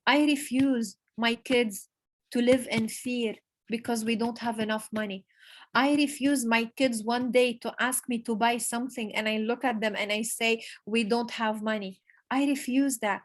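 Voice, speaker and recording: A woman giving an emphatic motivational talk to her business team, repeating key phrases and pausing for effect. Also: a little high, fairly even intonation. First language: Arabic. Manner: emphatic, repetitive, pauses for emphasis. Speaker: network marketing leader giving a motivational talk. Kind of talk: motivational speech.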